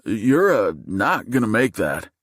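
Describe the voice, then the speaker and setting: A man's voice: lowish voice, animated, normal speed, no room sound, clean studio sound. A man voicing a wry, cautious male passenger in an audiobook. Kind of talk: audiobook character acting.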